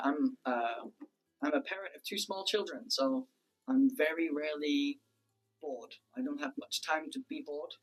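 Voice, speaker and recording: A man's voice: a little high, husky, poor audio. A man in his thirties from the UK, a teacher answering pupils' questions in a cheerful, easygoing way. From United Kingdom. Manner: cheerful, easygoing, lots of ums. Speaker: teacher being interviewed. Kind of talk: casual interview answers.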